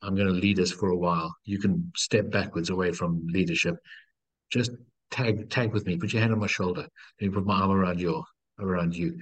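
A man's voice: low voice, fairly even intonation, brisk, rough recording. A middle-aged man from South Africa, a life coach, telling stories in an earnest, encouraging way and repeating key phrases. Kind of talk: reflective storytelling in conversation.

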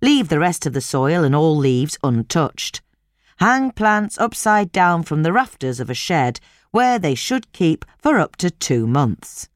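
A woman reading a scripted instructional article aloud as narrator, in measured, clearly separated phrases. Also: deep voice, sing-song, no room sound, studio quality.

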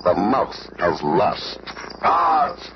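Tyrant supervillain, sneering, theatrical, swooping pitch, radio drama, very noisy muffled tape. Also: male voice, very animated, very slow, vocal fry, heavily acted. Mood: gloating. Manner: pompous, sneering, melodramatic. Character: gloating, theatrical tyrant supervillain, grown man. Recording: noisy, band-limited old radio recording.

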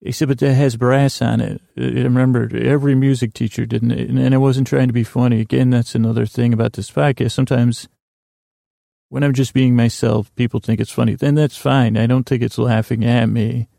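A man with a heavily creaky, gravelly voice rambles through a solo podcast monologue. His delivery is flat and mellow, full of stammered restarts and digressions. The sound is close and dry. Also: medium pitch, brisk, no room sound. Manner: hesitant, stammering, self-interrupting, digressive. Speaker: podcast host.

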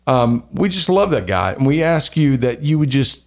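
Podcast co-host, male, mid pitch, faint Southern twang, earnest and rambling. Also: very animated, normal speed. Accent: light Southern American. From American South. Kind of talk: casual chat and spoken prayer.

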